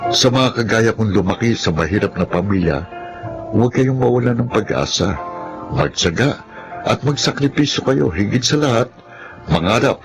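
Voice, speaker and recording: An actor playing an earnest, preachy younger brother, a new graduate, in a Filipino radio drama. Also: male voice, lowish voice. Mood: solemn, encouraging.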